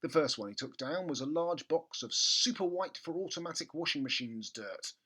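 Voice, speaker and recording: A man narrating a children's book expressively, with theatrical emphasis and comic pauses. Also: on the high side, animated, bright, forceful tone, husky. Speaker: storybook reader.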